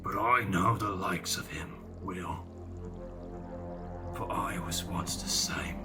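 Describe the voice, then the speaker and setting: Deep, slow old captain. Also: male voice, loud music behind the voice. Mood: weary, sorrowful. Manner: slow, dramatic, swooping delivery. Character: dreary old legendary male pirate captain, sentimental and proud. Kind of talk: theatrical audio play acting.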